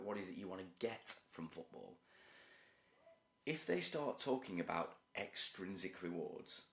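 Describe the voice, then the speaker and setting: A man with a croaky voice, explaining his points to camera in a measured, structured way. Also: low-pitched, reverberant. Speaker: youth football researcher and parent educator. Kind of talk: direct-to-camera talk.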